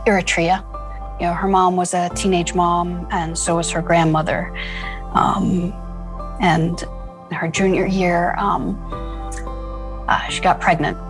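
Woman school founder recounting stories, low flat delivery, thoughtful pauses. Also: music in the background. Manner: earnest, reflective, with frequent fillers. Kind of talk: interview storytelling.

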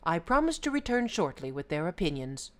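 A woman's voice: on the low side, big pitch swings, fairly quick. A woman voicing a kind, timid, poor Brahmin man who speaks earnestly and pleadingly in a folk tale. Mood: earnest asking. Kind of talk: oral folk tale storytelling.

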